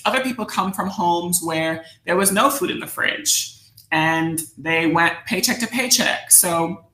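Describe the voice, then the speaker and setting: An American woman giving an instructional presentation in a deliberate, reflective manner, often posing questions to her listeners. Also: lowish voice, gravelly, sharp tone. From United States, New Jersey suburbs. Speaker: workshop presenter, educator. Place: indoor room, virtual session. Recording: roomy echo on the voice.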